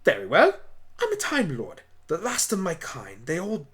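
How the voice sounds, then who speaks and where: A man's voice: a little high, huge pitch swings, moderate pace, fairly bright tone, pitched above the performer's own voice. Man playing rueful, whimsical time-traveling alien doctor. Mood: brisk, whimsical. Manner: rueful, rambling, polite. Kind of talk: amateur radio play acting.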